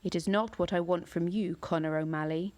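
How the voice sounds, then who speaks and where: A woman reading aloud, voicing a huge ancient male tree monster with slow, booming declamation. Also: fairly even intonation, darkish tone, lightly acted.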